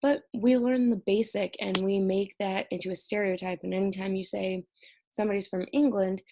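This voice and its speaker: A woman's voice: medium pitch, fairly even intonation, moderate pace, noisy background. A young woman recording an exam review lecture at home, explaining each topic conversationally while sounding stressed and short on sleep. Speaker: college instructor.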